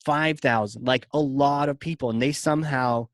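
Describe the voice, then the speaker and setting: A man hosts a podcast in a loose, playful, rambling way, often leaning on "like". His voice sits at a middle pitch. The sound is dry and close, with no room echo. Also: moderate pace. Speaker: podcast host. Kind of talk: casual interview chat.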